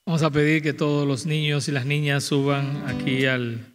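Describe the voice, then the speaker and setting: A man's voice: a little high, level tone, moderate pace, soft background music. A middle-aged man, a priest leading prayers and addressing a congregation in a large church over a microphone. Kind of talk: liturgical prayer and announcements to a congregation. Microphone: microphone on altar stand or handheld.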